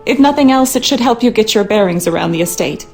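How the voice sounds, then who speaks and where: A woman's voice: medium pitch, expressive, fast talker, a little mellow, natural voice. An actress playing a worried, skeptical wife and mother, speaking earnestly and dramatically in a game monologue.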